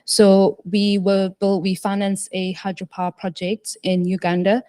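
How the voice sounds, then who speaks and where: A woman's voice: mid-range voice, little pitch movement, a little slow, warm tone. A woman answering as a panelist, hesitant, with many fillers and restarts.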